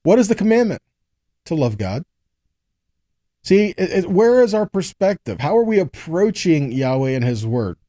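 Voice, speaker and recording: Male scripture teacher, slightly high but soft-edged voice, dry close sound, conversational and instructive. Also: sing-song, moderate pace, no room sound. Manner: earnest, instructive, checks in with rhetorical questions. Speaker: Bible teacher giving a teaching. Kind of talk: conversational scripture teaching, reading verses aloud and explaining.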